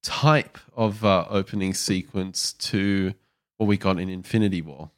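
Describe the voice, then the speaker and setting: A man with a fairly low voice co-hosts a film discussion show. He talks casually and analytically, pausing as he searches for the right phrase. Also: fairly even intonation. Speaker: film review podcast co-host.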